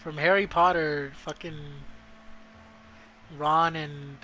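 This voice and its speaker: A man's voice: a little high, steady tone, slow pace, pushed and bright, slight background noise. A man doing casual gameplay commentary as a streamer, blunt, profane and mocking. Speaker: video game streamer. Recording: faint game music behind the voice.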